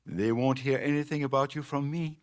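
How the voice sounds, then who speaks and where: An actor playing a sly, pompous older French politician in a video game, with a French accent and a drily ironic, theatrical delivery. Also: male voice, mid-range voice, fairly quick, close to an everyday voice. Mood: sly politeness. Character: wry, pragmatic older French male politician, grandiose and sly. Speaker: mayor. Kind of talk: video game cutscene acting.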